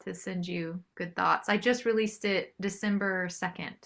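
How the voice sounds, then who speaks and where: Bright-voiced woman in casual interview. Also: lowish voice, fairly even intonation, moderate pace, creaky. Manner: appreciative, agreeable. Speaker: singer-songwriter guest.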